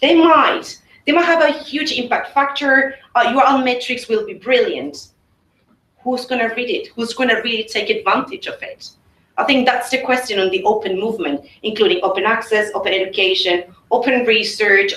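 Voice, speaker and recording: A woman on a discussion panel, speaking in an animated way and asking rhetorical questions. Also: a little high, normal speed. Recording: echoey room, distant mic.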